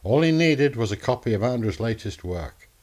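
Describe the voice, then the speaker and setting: A man narrating a scripted radio drama in a theatrical, deliberate manner. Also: mid-range voice, expressive, darkish tone.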